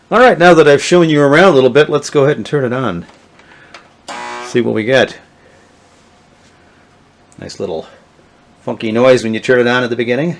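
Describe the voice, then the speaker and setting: A middle-aged man, talking casually and haltingly with many fillers as he shows off old electronics. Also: mid-range voice. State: has a cold, sniffly. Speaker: vintage electronics video host. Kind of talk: casual show-and-tell narration.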